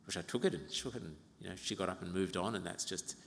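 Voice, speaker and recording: A man in his fifties from Uganda preaching an expository sermon as a pastor, in a measured, reflective way with pauses and gentle asides. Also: deep voice, gravelly, a little hiss or hum. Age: 50s. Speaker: pastor preaching to own congregation. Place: large reverberant church interior. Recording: reverberant hall.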